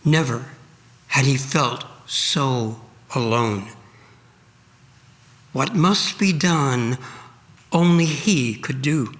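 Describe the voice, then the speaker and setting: A man reading a dramatic sacred narrative solemnly at a church service, measured and with deliberate pauses. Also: medium pitch, fairly bright tone. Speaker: reader at a church Good Friday service.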